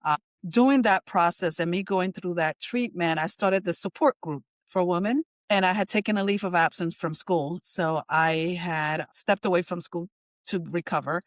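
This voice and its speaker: A woman's voice: fairly low pitch, normal speed, no echo. A middle-aged woman from Puerto Rico, raised in New Jersey, giving candid, conversational answers as an interview guest. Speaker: interview guest, counseling center chief executive.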